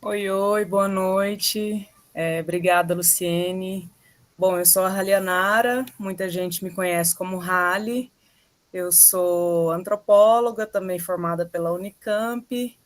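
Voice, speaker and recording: A woman from Goiás with a Goiás accent, an anthropologist and teacher introducing herself on a video call in a slow, homey way. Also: medium pitch, steady tone. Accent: Goiás Brazilian Portuguese. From Goiás, Brazil.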